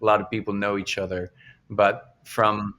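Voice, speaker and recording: A man's voice: fairly low pitch, little pitch movement, moderate pace, sharp tone. A man hosting a casual podcast interview, asking long, rambling questions with restarts. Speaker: podcast host.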